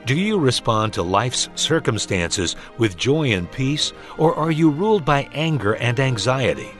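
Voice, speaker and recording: A man's voice: mid-range voice, lively intonation, unhurried, a bit bright, soft background music. A man introducing a religious radio program in a polished, scripted announcer delivery with rhetorical questions.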